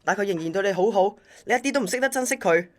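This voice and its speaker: A woman's voice: mid-range voice, animated, rapid-fire, natural voice. A woman with a Hong Kong Cantonese accent playing an obsessively devoted young male courier in a radio drama. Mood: bitter, reproachful.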